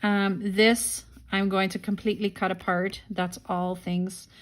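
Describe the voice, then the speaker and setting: A woman's voice: medium pitch, level tone, unhurried. A woman hosting a casual craft-along, unhurriedly thinking aloud and murmuring to herself as she works. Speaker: craft tutorial host.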